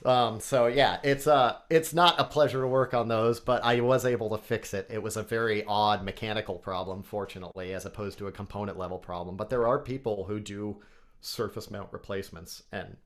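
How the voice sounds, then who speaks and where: A man's voice: on the low side, fairly even intonation, a little fast. A man with a nasal voice, a guest on a podcast, chatting casually and technically about microphones. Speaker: guest, microphone company CEO.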